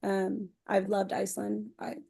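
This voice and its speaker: A woman speaking as a podcast guest, candid and expressive, telling personal anecdotes in casual conversation. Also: mid-range voice, a little slow, vocal fry, husky.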